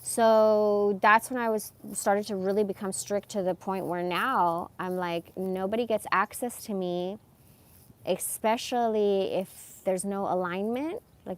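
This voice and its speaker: A woman in her forties with a medium-pitched voice, talking off the cuff. She is candid and emphatic, drifting through a casual personal monologue full of slang. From born in Iran, moved to America as a young child. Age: 40s. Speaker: live streamer.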